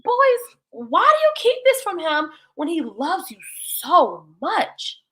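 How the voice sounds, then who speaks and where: A woman voicing a spirited, sassy, warm-hearted female housekeeper in a storybook, direct and teasing. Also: very high voice, wildly swooping pitch, slow, voice pushed up, full character voice, rough recording. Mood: coaxing.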